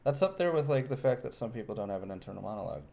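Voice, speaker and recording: A man's voice: lowish voice, animated, very fast, gravelly, warm tone. A man co-hosting a podcast in casual chat, laid-back and dry, mostly giving short agreeing replies. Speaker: podcast co-host.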